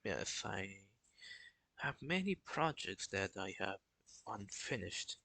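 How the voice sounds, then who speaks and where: A young man talking casually on a live stream, in a flat, halting way with many restarts. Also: on the low side, gravelly, no echo. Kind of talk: casual solo stream talk.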